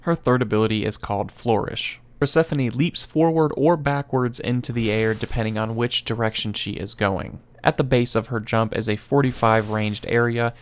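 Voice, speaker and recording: A man's voice: medium pitch, fairly even intonation, normal speed, hardly any pauses. A man giving matter-of-fact, instructional narration for a gaming video, reading from a script.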